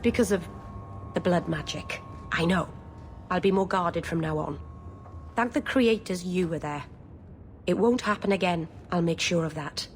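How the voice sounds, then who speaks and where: A woman's voice: lowish voice, normal speed, long pauses, lightly acted. Earnest young elven mage woman acted, faint noise. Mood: resolved, subdued. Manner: earnest, hesitant, emotional. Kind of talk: video game voice acting.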